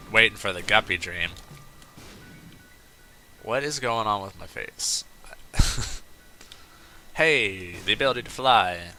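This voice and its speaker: A man's voice: fairly low pitch, pushed and bright, slight background noise. A man hosting a let's play, chatting casually and rambling into off-topic asides while he plays. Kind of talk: casual gameplay commentary.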